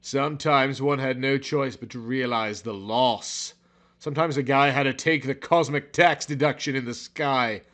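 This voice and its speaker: A man narrating a story in a theatrical, brooding, overwrought style, as if every line were high drama. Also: fairly even intonation, moderate pace, a bit bright.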